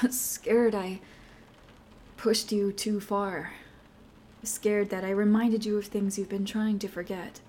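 A woman's voice: medium pitch, level tone, takes it slowly, long pauses, a little mellow, slight background noise, lightly acted. A woman playing a young girlfriend with a temper in a listener-directed audio roleplay, speaking emotionally and earnestly straight to the listener. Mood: soft apologetic.

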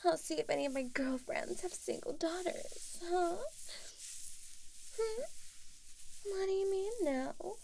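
A woman's voice: sits high, slow pace, gravelly, slight background noise, exaggerated voice. A woman playing a tipsy, doting older neighbor. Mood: teasing. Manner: soft flirty coaxing, slipping into whispers. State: a little drunk. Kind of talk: audio roleplay.

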